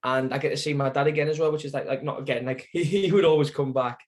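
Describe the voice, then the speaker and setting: A man's voice: on the high side, steady tone, quick pace. A young man with a Sunderland accent, a guest telling his story in a casual interview, rambling with lots of fillers and self-corrections. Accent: Sunderland (Mackem). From Sunderland, England.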